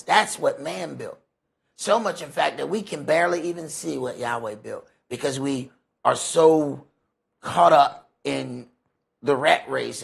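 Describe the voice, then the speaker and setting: An American man leading a Bible study, preaching off the cuff in an emphatic way with repeated phrases and rhetorical questions, his voice rough and raspy. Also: on the high side, fairly bright tone. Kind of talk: sermon, extemporaneous teaching.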